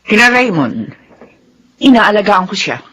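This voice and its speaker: A woman's voice: medium pitch, big pitch swings, unhurried, a bit bright. An actress playing a devoted, long-suffering mother in a Filipino radio drama, earnest and emotional. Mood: hurt, furious. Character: long-suffering, devoted middle-aged widowed or wronged mother.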